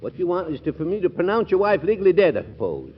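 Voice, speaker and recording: An actor playing a crotchety, absent-minded old judge in a 1940s radio comedy, testy and muttering, on a muffled, noisy recording. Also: male voice, high-pitched, wildly swooping pitch, quick pace. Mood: brisk, distracted. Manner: testy, muttering, stumbling over long words.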